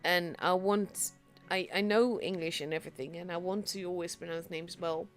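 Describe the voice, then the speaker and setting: A young Dutch woman, a native Dutch speaker, casting a tank game solo in a chatty, self-deprecating way that grows excited at big plays. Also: fairly low pitch, moderate pace, faint music behind. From Netherlands. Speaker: esports caster.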